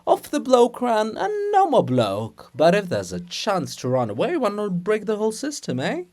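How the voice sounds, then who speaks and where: A man voicing a gleefully morbid farce chorus, declaiming in drawn-out, exclamatory theatrical style. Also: high-pitched, wildly swooping pitch, darkish tone, pitched above the performer's own voice. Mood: morbid glee. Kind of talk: theatrical dramatic reading.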